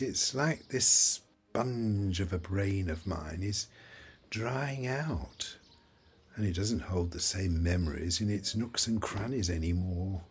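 A man's voice: fairly low pitch, slight background noise, exaggerated voice. An actor playing a forgetful, wry old man who rambles and questions himself in an audio play monologue. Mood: wistful. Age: older. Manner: rambling, halting, self-questioning.

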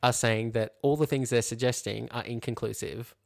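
A woman's voice: very deep voice, fairly even intonation, moderate pace, gravelly, a little mellow. A woman co-hosting a podcast, reacting in casual banter with a sardonic, opinionated manner. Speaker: podcast co-host.

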